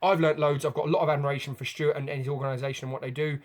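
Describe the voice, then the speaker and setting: Fast-talking English male podcast host. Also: fairly high pitch, little pitch movement. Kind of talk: podcast introduction and interview.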